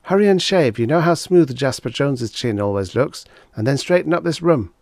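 Male reader as a nagging wife, shrill raised pitch, bossy, fussy, impatient, emphatic, dry close storybook recording. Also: animated, normal speed, darkish tone, no echo, close to an everyday voice. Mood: bossy, flustered. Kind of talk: audiobook character voice.

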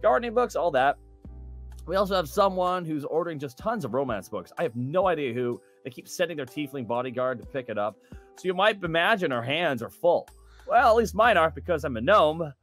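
Man as a chatty, animated gnome librarian. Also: a little high, fairly quick, faint music behind. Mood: earnest, harried. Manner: rambling, eager, self-conscious joking. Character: chatty, earnest male gnome assistant librarian. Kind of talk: in-character reading of a fantasy newspaper interview.

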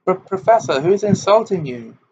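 A shy teenage schoolgirl, as a male narrator puts her on, asking in a hushed, hesitant voice. Her voice is raised well above the reader's own. The recording is dull with faint hiss. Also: sits high, expressive, moderate pace, pitched above the performer's own voice. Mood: timid. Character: timid, admiring teenage schoolgirl.

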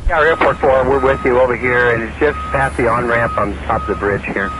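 A man's voice: mid-range voice, fairly quick, background noise. A man working in airport ground operations, reporting informally over a two-way radio. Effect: two-way radio transmission.

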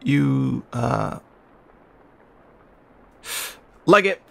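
Guy doing a cheeky young raccoon boy, flustered and muttery, mid pitch, kinda slow halting talk, roleplay audio. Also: expressive, vocal fry, pushed and bright, exaggerated voice. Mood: panicked protesting.

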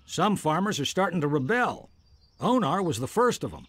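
An actor playing a suspicious, aggrieved farmer in a fantasy video game, grumbling and blunt. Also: male voice, on the high side, sing-song, normal speed, husky, dry recording. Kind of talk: video game dialogue acting.

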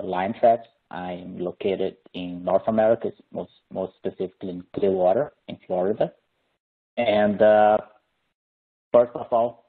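A man in his thirties from Brazil with a Brazilian Portuguese accent, presenting a technical engineering webinar in a hesitant, friendly way with many fillers. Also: low voice. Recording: phone-like narrowband audio.

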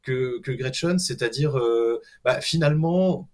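A middle-aged man in a casual panel discussion, speaking haltingly and often repeating himself as he explains things. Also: mid-range voice, darkish tone. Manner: halting, hesitant delivery with many self-repetitions. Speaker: guest animated film director. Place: at home, on a video call.

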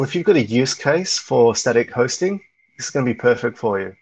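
A man gives a casual tech talk at an online meetup, speaking over a video call. His voice sits at a middle pitch with a gravelly edge. He rambles, with plenty of ums and restarts. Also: low-quality recording. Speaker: meetup presenter.

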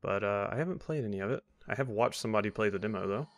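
A man's voice: on the low side, lively intonation, racing, dry recording, studio quality. A man giving casual, rambling gameplay commentary as a let's play host, with wry asides. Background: faint game music.

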